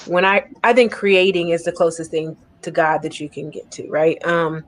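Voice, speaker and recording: A woman's voice: low-pitched, fairly even intonation, normal speed. A woman poet speaking earnestly on a panel, often checking in with a "right?". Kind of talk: panel discussion.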